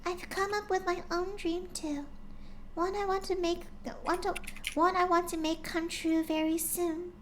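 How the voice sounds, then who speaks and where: A woman reads as a timid young pegasus mare, gentle and hushed, voice sitting high and light. She talks softly and kindly, with faint room noise behind her. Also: flat delivery, unhurried. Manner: soft, quiet and gentle. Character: gentle shy young female pegasus pony.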